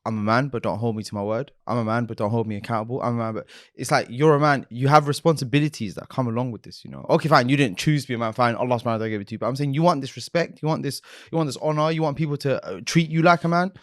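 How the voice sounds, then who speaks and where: A man's voice: medium pitch, racing, few pauses, no echo, studio quality. A man co-hosting a podcast, talking earnestly in casual chat with lots of fillers and restarts. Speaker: podcast co-host.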